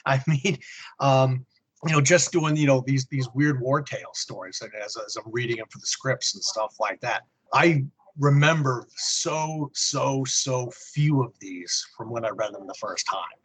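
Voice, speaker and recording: A middle-aged American man co-hosting a podcast, chatting casually in a halting, wry way. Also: mid-range voice, fairly bright tone, husky, poor audio. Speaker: podcast co-host. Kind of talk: casual chat about old comics.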